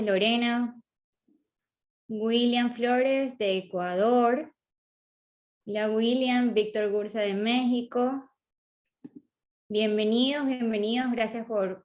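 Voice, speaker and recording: A woman from southern Venezuela, with a Venezuelan accent that sounds a bit Colombian, hosting an online webinar and greeting attendees warmly by name. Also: medium pitch, highs cut off. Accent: Venezuelan, slightly Colombian-sounding. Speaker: webinar host. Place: online video call.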